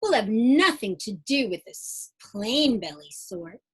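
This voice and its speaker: A woman plays a snooty storybook creature with a high, swooping voice. It brags haughtily and indignantly, drawing out its words. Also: very animated, a little slow, husky, full character voice. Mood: boastful, snooty.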